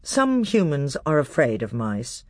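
A young, noble storybook king, as a narrator reads him, speaks with grave, kindly authority. The tone is courteous and firm, with lively rises and falls. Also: mid-range voice, unhurried, no echo, studio quality. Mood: grave, decisive.

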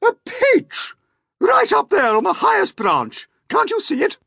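Brief: storybook aunt, bossy and excitable, very high, shrieking, wildly swooping delivery male performer. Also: measured pace, vocal fry, dry recording, studio quality, full character voice. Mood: shrill excitement. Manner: shrieking, commanding exclamations. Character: shrill, bossy, excitable middle-aged aunt, a woman. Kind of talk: dramatic children's storybook character reading.

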